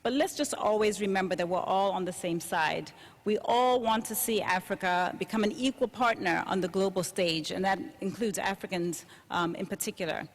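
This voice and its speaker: A woman's voice: medium pitch, normal speed. Woman from Zambia speaking in a hall. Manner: friendly, conversational, with um fillers. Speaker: panelist speaker. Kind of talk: prepared talk to an audience. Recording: long hall reverb.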